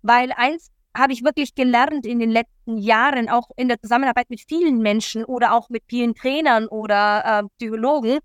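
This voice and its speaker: A woman from Italy, a coach, talking as a guest in an earnest, lively way with many fillers. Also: on the high side, brisk, few pauses, clean tone, no room sound, clean studio sound. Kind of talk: interview talk.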